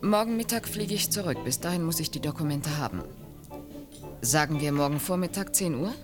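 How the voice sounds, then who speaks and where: Wealthy negotiator, cool, controlled, formal, deep female voice, music behind, radio drama. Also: expressive, a little fast. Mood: insistent. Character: cool, wealthy female buyer from Brazil, businesslike, guarded. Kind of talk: 1980 German radio drama acting.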